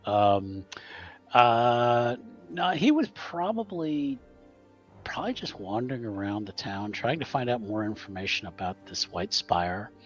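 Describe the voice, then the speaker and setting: A man's voice: mid-range voice, expressive, slow, lo-fi sound, soft background music. A man casually improvising what his roleplaying character has been doing, hesitant and understated. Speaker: tabletop roleplaying player.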